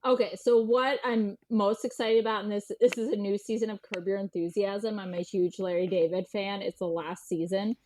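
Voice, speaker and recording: An American woman chatting informally as an interview guest, animated and peppering her talk with "like" and quoted dialogue. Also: medium pitch, normal speed, hardly any pauses, poor audio. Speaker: podcast guest, journalist and historian. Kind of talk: casual interview chat.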